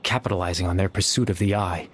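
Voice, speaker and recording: A man voicing a young man who exists only in memory, calm and apologetic, speaking in a measured, quiet, explanatory way. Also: low voice, breathy edge. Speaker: game character. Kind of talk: video game voice acting.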